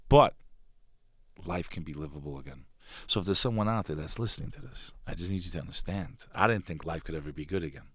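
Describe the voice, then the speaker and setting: A man's voice: low voice, fast, a bit bright, slightly rough, dry recording. A man talking as a podcast guest in a studio, intense and emphatic, swearing freely in casual conversation. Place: studio with a green screen.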